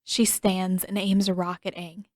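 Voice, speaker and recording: A woman reading story narration in a measured, expressive storytelling manner. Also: lowish voice, no room sound, clean studio sound. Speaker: fan fiction narrator.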